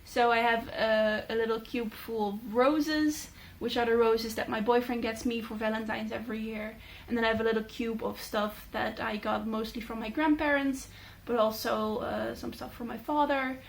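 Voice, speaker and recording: Chatty Dutch woman with a higher voice. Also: steady tone, moderate pace, gravelly, few pauses, poor audio. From Netherlands. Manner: chatty, many fillers, thoughtful. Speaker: book channel host. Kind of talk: casual talking-head vlog.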